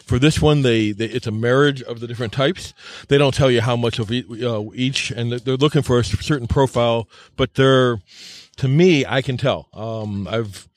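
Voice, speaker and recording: A man hosts a loose, casual conversation at a mid-range pitch. He is halting and stammers, often doubling up words before getting to his point. The talk sounds unscripted, recorded outdoors. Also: dry recording. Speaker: show host. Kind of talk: casual round-table chat. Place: outdoors by a bonfire.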